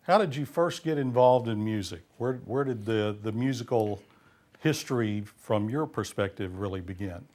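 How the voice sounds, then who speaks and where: A man's voice: on the low side, lively intonation, moderate pace, darkish tone. A man interviewing a guest with formal, courteous questions.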